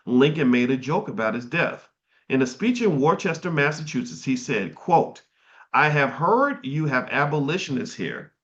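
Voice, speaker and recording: A man's voice: mid-range voice, sing-song, moderate pace, a bit bright. A middle-aged man reading passages from a history book aloud and stopping to comment on them in a casual way. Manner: reads passages and breaks off to comment. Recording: dull, slightly lo-fi sound.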